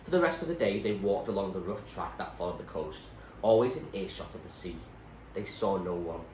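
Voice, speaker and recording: A man narrates a novel in a low voice that rises and falls widely for emphasis. He sounds like someone reading aloud in an echoey room, delivering the scripted prose dramatically. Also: expressive, brisk, darkish tone. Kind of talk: scripted story narration, read expressively. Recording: roomy echo, faint background noise.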